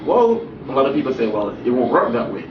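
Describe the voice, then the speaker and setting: A young man with a Jamaican accent talks in an easy, laid-back way, mid-pitched. He sounds far from the mic in an echoey room. The sound is muffled, with a clear layer of background noise. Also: fast talker. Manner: relaxed, peppered with "you know what I'm saying". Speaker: guest actor. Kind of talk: casual interview chat. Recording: distant roomy muffled recording, noisy.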